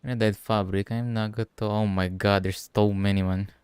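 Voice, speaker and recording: Low, smooth-voiced man streaming. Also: little pitch movement, normal speed, a little mellow, dry recording. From Philippines. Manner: informal, sweary. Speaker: livestreamer playing a game. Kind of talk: casual gameplay commentary.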